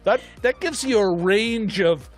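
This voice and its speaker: A man co-hosting a film discussion show, chatting casually in a rambling, animated way as he thinks aloud. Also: high-pitched, measured pace, soft background music. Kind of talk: casual chat.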